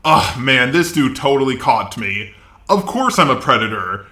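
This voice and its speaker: Man, combative reaction commentary, sarcastic, profane, bright tense voice, echoey recording. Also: mid-range voice, expressive, normal speed. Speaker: YouTube commentator.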